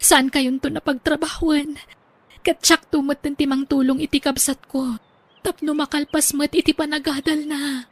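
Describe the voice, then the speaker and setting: Actress as earnest young Ilocano daughter in radio drama; very high pitch, grateful and respectful. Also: female voice, flat delivery, normal speed, close to an everyday voice. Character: grateful, dutiful young daughter, newly graduated.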